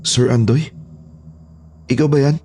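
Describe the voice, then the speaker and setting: Man playing a polite, mellow young-servant type houseboy. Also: medium pitch, fairly even intonation, unhurried. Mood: wary. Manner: deferential, eager to report. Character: polite, obedient male houseboy and gardener. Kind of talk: audio horror drama acting.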